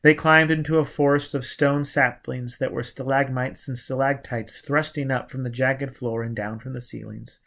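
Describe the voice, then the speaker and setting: A man's voice: mid-range voice, fairly even intonation, moderate pace, creaky, few pauses, fairly bright tone. A man reading a novel aloud as dramatic scripted audiobook narration. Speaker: audiobook reader.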